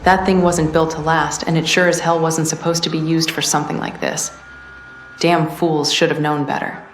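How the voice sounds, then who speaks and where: A woman playing a tough, weary resistance veteran who hands out missions in a video game, speaking plainly and in a measured way. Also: low voice, level tone, echoey room, faint music behind, natural voice. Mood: firm, matter-of-fact. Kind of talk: video game character dialogue.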